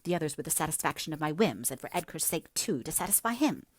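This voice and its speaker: A woman voicing a passionate, headstrong young gentlewoman in a dramatic, emphatic audiobook reading, breathy at times. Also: sits low, animated, fast talker, no echo, studio quality. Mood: impassioned.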